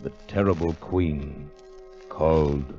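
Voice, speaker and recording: A man's voice: a bass voice, steady tone, slow. A man announcing a 1940s radio show in dramatic scripted narration, with an ominous, theatrical manner. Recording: muffled vintage radio recording, music behind.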